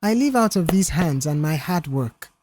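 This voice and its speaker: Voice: medium pitch, expressive, moderate pace, a little mellow, natural voice. A narrator voicing a slippery male workman in an audio story, chummy and protesting.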